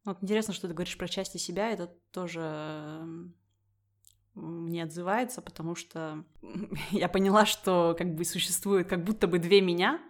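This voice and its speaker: A woman's voice: on the low side, fairly even intonation, moderate pace. A young woman from Russia, a podcast guest, talking things through in a casual, reflective way with many fillers and frequent laughs. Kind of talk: casual conversation.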